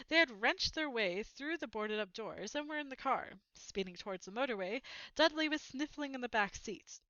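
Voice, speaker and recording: A woman reading a children's book aloud as an expressive storyteller. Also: fairly high pitch, dry recording, highs cut off.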